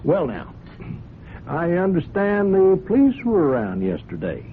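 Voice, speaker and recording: Swooping, folksy, sly radio blackmailer. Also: male voice, high-pitched, huge pitch swings, a little slow, exaggerated voice. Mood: slyly insinuating. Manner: folksy, ingratiating drawl. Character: folksy, sly, greedy small-time blackmailer. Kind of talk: radio drama acting. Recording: muffled, noisy 1970s radio recording.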